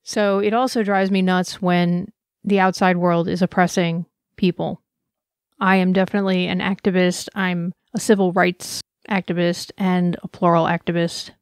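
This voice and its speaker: A woman's voice: lowish voice, flat delivery, moderate pace, no room sound, clean studio sound. A woman giving a personal, earnest conference talk, speaking with passion and reflection. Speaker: conference presenter.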